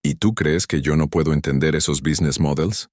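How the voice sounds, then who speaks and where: A man narrating a dramatic first-person story in a measured, stern manner. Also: rumbling low, a little mellow, dry recording, studio quality.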